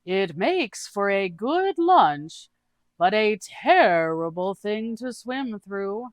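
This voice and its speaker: A narrator voicing a reckless, eager fantasy adventurer with a loud, drawn-out delivery. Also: mid-range voice, sing-song, no echo, studio quality, voice pushed up.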